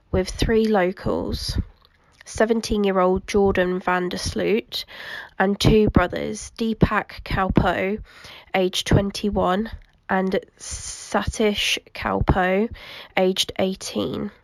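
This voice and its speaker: A young woman chats through a true crime story, her voice gravelly with vocal fry as she recovers from the flu. She fills her talk with ums and keeps an unhurried pace. The sound is close and dry, with no room echo. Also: mid-range voice. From United Kingdom. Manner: frequent ums, soft and unhurried. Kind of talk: casual true crime vlog while colouring.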